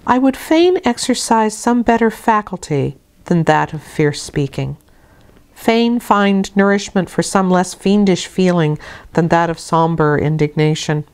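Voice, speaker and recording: Female audiobook narrator for classic fiction. Smooth, resonant, lower register, deliberate and expressive. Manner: measured, deliberate phrasing. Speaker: audiobook reader. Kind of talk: expressive literary storybook narration.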